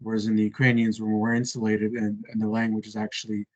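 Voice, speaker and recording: A man's voice: on the low side, completely flat delivery, fast, muffled recording. A man casually chatting on a video call, thinking aloud with many fillers and hedges. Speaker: conversation partner.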